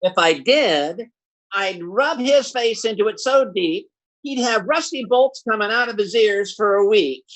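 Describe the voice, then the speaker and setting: A man's voice: sits high, lively intonation, measured pace, a bit bright, rough recording. A man playing a bumbling, scheming husband in classic radio comedy, with a folksy, stammering, blustering delivery. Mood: grumbling.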